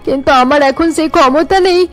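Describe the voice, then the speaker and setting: Elderly mother-in-law played by a woman, cartoon melodrama: high-pitched, plaintive, remorseful, music underneath. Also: fairly quick, lightly acted. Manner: plaintive, pleading. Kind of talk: cartoon family melodrama acting.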